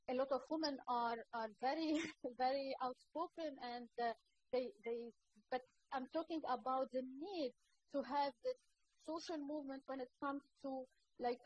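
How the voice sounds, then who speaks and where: A woman's voice: high-pitched, darkish tone. A woman in her thirties with a Lebanese accent, speaking earnestly as a panel guest over a video call, with many fillers and restarts. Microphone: laptop microphone on video call. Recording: muffled video-call audio with faint noise.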